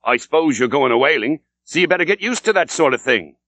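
A man reading aloud and voicing a sly, teasing old innkeeper with a rough seafaring dialect drawl. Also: fairly high pitch, expressive, fast, a bit bright, no room sound, pitched above the performer's own voice.

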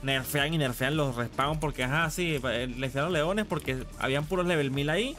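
A man's voice: on the high side, moderate pace, fairly bright tone. Slangy man streaming a game over music. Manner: slangy, swearing, griping. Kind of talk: casual live gaming stream chat.

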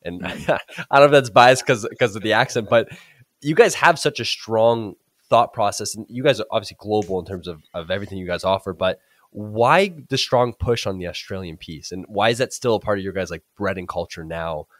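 A young man in his twenties hosts a podcast, his fairly low voice close and dry on a boom-arm studio mic. He asks loose, rambling questions, filling the gaps with "like.". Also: brisk, no room sound. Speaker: podcast host. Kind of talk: casual interview questions. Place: indoor room with couch and plant. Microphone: studio microphone on a boom arm.

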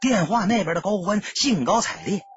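Male audiobook narrator, very husky, lively pitch, dramatic scripted read, close dry slightly muffled sound. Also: brisk, no room sound, faint music behind.

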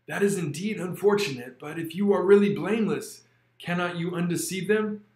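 A man doing a gentle blind old exile. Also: high-pitched, pitched above the performer's own voice, lightly acted. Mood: kindly. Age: older. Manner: gentle, earnest, measured. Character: blind, kind, elderly male exile. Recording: roomy echo.

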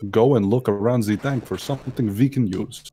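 A woman's voice: a bass voice, brisk, warm tone, slight background noise. A woman streaming herself playing a video game, chatting casually, swearing, laughing, and reading on-screen text aloud from her home setup on a headset mic. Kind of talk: casual let's-play commentary.

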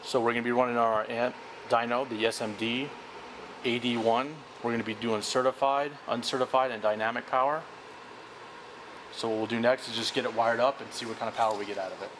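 A man's voice: medium pitch, fairly even intonation, a little fast. An American man in his thirties plainly presenting a product test. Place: indoor workshop or warehouse. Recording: clear air-conditioning hum.

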